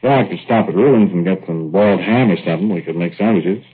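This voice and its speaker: A man's voice: low-pitched, breathy edge. An actor playing an easygoing small-town American working man in a 1940s radio drama, plain-spoken and folksy as he talks and narrates. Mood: casual, teasing. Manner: folksy, colloquial, unhurried storytelling. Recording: noisy, muffled old radio recording.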